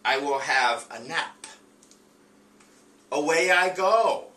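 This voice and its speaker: An eager little storybook dog as read aloud by a man, pitched up and bright. The phrasing is simple and measured, as for early readers. It is recorded in a roomy space, away from the mic. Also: expressive, full character voice. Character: eager dreaming dog from a children's picture book. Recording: roomy, distant mic with faint noise.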